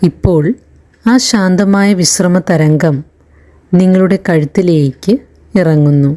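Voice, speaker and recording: A woman leading a guided meditation in a calm, soothing narration, leaving long pauses between phrases. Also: lowish voice. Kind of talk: slow guided relaxation narration.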